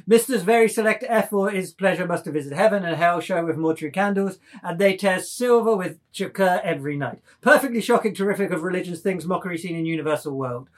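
A man performs a tipsy young show-off in a dramatised novel. The voice is high for a man. He declaims and minces theatrically, as if mocking foreign speech. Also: animated, fast talker, few pauses. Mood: gabbling, mocking. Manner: declamatory, mincing, mock-foreign broken English. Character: drunken, theatrical young man, grimacing and clowning. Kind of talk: dramatised reading of a modernist novel.

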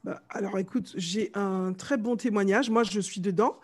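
A middle-aged woman from Martinique with a light Martinican accent, a vocal coach telling stories in a casual interview, animated and fond of mimicking voices. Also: mid-range voice, normal speed. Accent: light Martinican French.

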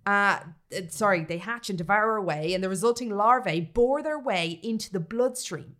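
A woman's voice: mid-range voice, lively intonation, normal speed, fairly bright tone. A woman with an Irish accent, a podcast host telling a story to her co-hosts in a sweary, comic way, relishing gory details and checking in with "right?".

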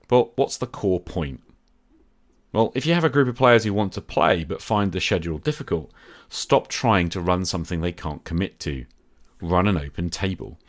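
A man presenting a scripted solo podcast as its host, speaking in a measured, deliberate way with emphatic phrasing. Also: deep voice.

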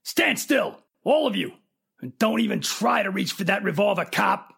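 A man's voice: sits high, animated, moderate pace, creaky, a bit bright, slightly rough, heavily acted. A man playing a defensive, menacing private detective in an old-time radio crime drama.

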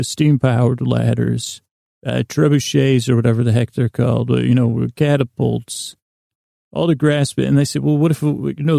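A man hosting a podcast, rambling through a bedtime story monologue in a gravelly voice, halting and stumbling over his words as he meanders. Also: mid-range voice, dark tone, no room sound, clean studio sound. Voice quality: gravelly, creaky voice. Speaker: podcast host.

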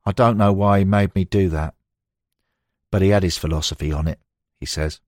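A man's voice: sits low, moderate pace, darkish tone, no echo, studio quality. A man reading scripted narration of a football biography as an audiobook narrator.

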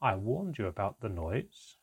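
A man voicing a cold, composed goblin father with an even, emotionless, measured manner. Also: mid-range voice. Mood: quiet, stern.

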